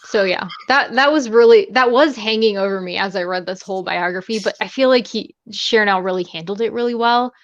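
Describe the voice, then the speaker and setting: A woman co-hosting a casual book chat on a livestream, informal and a little halting. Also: medium pitch, no room sound, lo-fi sound. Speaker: livestream co-host discussing a book. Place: indoors, livestream call.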